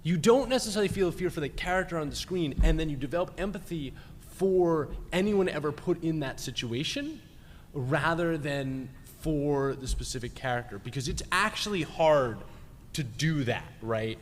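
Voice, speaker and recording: A man's voice: fairly high pitch, lively intonation, moderate pace. A man explaining his points emphatically as a guest panelist in a live discussion, often checking in with the listeners. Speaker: guest panelist, game designer. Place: large indoor convention panel room. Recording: reverberant convention hall with background noise.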